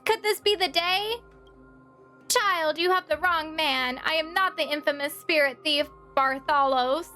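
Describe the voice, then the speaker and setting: A woman's voice: high voice, measured pace, sharp tone, soft background music. A woman voicing a cheeky little thief who poses as a frail old man, wheedling and theatrical, in a video game. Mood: whining.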